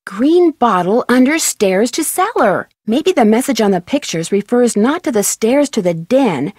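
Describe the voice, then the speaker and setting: A woman voicing an inquisitive, upbeat young female detective in an adventure game, chirpy and expressive as she thinks aloud. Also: a little high, measured pace, slightly rough, no echo, clean studio sound. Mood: musing, curious. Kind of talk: scripted adventure game voice acting.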